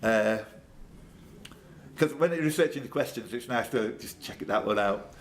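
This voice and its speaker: A man hosts a live pub quiz in a mid-pitched, very animated voice. He moves between reading questions aloud and off-the-cuff, jokey banter with the players. There is light noise around him. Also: moderate pace. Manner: reads questions aloud, then chats off the cuff. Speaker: quiz host. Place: indoors at a pub quiz event. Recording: background noise in a pub room.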